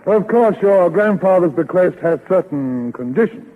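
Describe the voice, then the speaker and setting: An actor playing an earnest, fussy lawyer in 1930s radio comedy, speaking formally and carefully. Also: male voice, high voice, expressive, moderate pace, slight background noise. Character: earnest, fussy male lawyer breaking news gently. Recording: muffled old 1930s radio recording.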